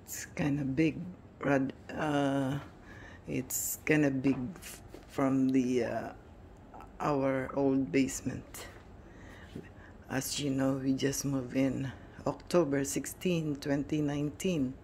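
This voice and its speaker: Slow, low-voiced woman touring her basement. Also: steady tone, dark tone, a little hiss or hum. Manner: hesitant, many restarts and fillers. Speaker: home vlogger. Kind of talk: casual home tour narration. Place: finished house basement, indoors.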